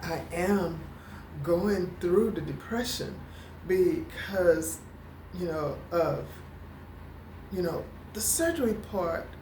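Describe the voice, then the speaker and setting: A woman vlogger who talks slowly and haltingly, with a stutter and many fillers, and gets emotional at times. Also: fairly low pitch, lively intonation, darkish tone, echoey room, a little hiss or hum, low-quality recording. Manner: emotional, near tears at times. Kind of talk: personal talking-head vlog.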